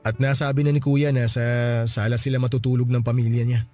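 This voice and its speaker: A man's voice: mid-range voice, steady tone, fast, darkish tone, soft background music, lightly acted. A man playing a conflicted young Filipino husband in a radio drama, hesitant, pleading and defensive. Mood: hesitant, uneasy. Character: conflicted young husband, apologetic, loyal to his brother.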